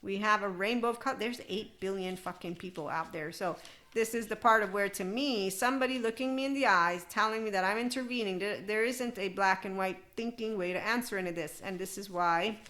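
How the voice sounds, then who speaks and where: A woman's voice: medium pitch, a little fast, bright, forceful tone. A woman hosting a podcast, talking in a loose, digressive self-help monologue with frequent laughs. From United States. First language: English. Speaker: podcast host.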